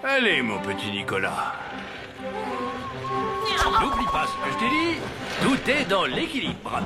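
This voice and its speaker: A man's voice: high-pitched, huge pitch swings, measured pace, vocal fry, loud music behind the voice, full character voice. A man voicing a jolly old Santa Claus mentor in a cartoon, encouraging and theatrical. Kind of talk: animated cartoon voice acting.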